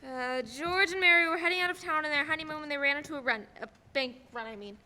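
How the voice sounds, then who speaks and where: Woman as very high, piercing eager young angel. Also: quick pace, sharp tone. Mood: impatient. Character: eager, impatient female apprentice angel. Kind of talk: filmed radio play acting.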